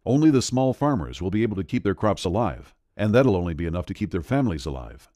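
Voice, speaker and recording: A man's voice: low voice, very animated, fast, warm tone, dry recording, clean studio sound. A man narrating a scripted voice-over in a grave, dramatic, urgent manner. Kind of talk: scripted doom-laden voice-over pitch.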